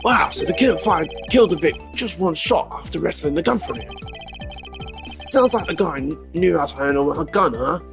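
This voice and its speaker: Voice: medium pitch, lively intonation, normal speed, gravelly. Brief: loyal scruffy male cop, done by a streamer, earnest and talkative. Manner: chatty, earnest, ends lines with "sir". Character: eager, loyal, scruffy male police detective. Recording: game music behind the voice.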